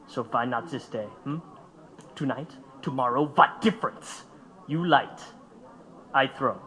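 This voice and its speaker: A man's voice: medium pitch, sharp tone, rough recording. A man playing a young immigrant anarchist in an audio drama, taunting and intense, speaking simple broken English. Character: young fiery German immigrant anarchist bomb-maker, reckless and bitter.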